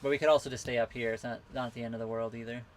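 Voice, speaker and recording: A man from western Canada, hosting a casual live Q&A from his sailboat, reading out viewer comments and answering them in a relaxed, rambling way. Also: medium pitch, rapid-fire, a little hiss or hum. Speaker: livestream host answering viewer questions. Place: on a sailboat at a marina.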